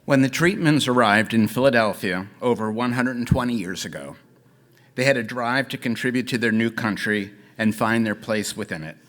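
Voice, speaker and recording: A man's voice: mid-range voice, moderate pace. Middle-aged man giving a formal gala address. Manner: formal, steady, dignified delivery. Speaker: parent and honoree giving a gala speech. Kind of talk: prepared speech at a gala.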